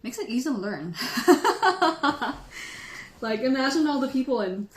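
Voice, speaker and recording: A woman's voice: high-pitched, very animated, measured pace. A woman from the Philippines hosting a tea channel, chatting playfully with viewers and laughing often. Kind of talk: casual livestream chat answering viewers. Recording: echoey room.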